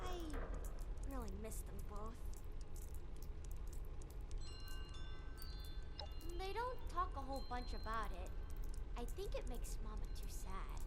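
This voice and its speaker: A woman's voice: a little high, very animated, normal speed, over loud music. A woman voicing a plucky, adventurous young boy in a video game, with a childlike voice and eager, boastful, slangy kid talk. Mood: wistful. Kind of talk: video game character dialogue.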